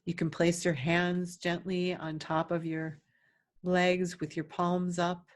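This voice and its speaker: A woman guides a meditation in a fairly low voice. Her delivery is very slow, with long silences between phrases. She gives her instructions gently and soothingly, as a teacher would. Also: level tone.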